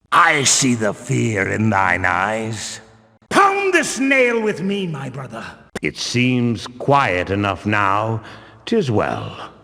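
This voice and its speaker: An actor playing a stern, pious medieval temple guard in a video game, speaking in pompous, archaic, theatrical phrases. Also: male voice, medium pitch, gravelly, a bit bright, exaggerated voice. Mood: calm. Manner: archaic pompous speech, declaiming. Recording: long cavernous reverb.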